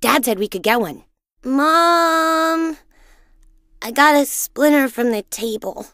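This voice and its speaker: A woman voicing a boisterous, imaginative and boastful young boy in a cartoon-style video game, with an excitable, dramatic, childlike delivery. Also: sits high, takes it slowly, dry recording, clean studio sound, exaggerated voice. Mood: eager, chatty. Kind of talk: animated video game voice acting.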